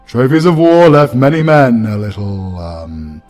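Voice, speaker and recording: A British man playing a jolly, fatherly Christmas spirit with dark humour, hearty and teasing in a comedic audio play. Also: mid-range voice, big pitch swings, unhurried, faint music behind. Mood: jovial, teasing. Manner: hearty, grandly paternal, teasing. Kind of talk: comedic audio roleplay acting.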